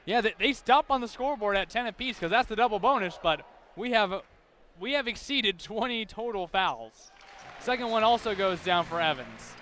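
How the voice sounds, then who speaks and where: Male basketball play-by-play announcer, American, high voice, detailed running call over clearly noisy arena sound. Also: expressive, moderate pace. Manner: detailed, running description of the action. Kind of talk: live basketball play-by-play commentary. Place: courtside in a large basketball arena. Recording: loud crowd and arena noise behind.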